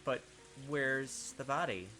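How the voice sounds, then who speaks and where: A man's voice: medium pitch, slow, sharp tone, soft background music. A man giving casual gaming commentary and reading on-screen text aloud, talking through his theories in a puzzled way. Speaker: gaming video host. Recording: clear background game noise.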